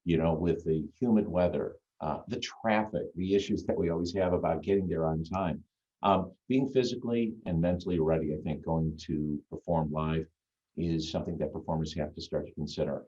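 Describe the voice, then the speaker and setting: A man with a deep, full radio voice, chatting as a guest on a video call in an easygoing, rambling way. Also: fairly even intonation, fairly quick, warm tone. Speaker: guest magician. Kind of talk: casual chat on a video call. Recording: dull lo-fi video-call audio.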